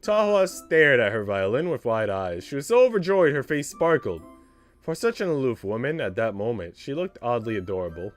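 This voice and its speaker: A man's voice: medium pitch, very animated, moderate pace. A man streaming a visual novel, reading its text aloud and adding casual asides, with music playing behind him.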